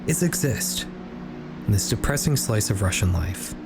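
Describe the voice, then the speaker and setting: A man reading scripted video essay narration in a measured, storytelling manner. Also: on the low side, lively intonation, music in the background. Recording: clearly noisy ambient background.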